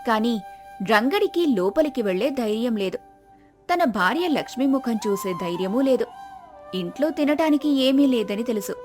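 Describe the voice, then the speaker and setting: High-voiced woman narrating a story. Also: expressive, moderate pace, faint music behind. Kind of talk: scripted moral-story narration.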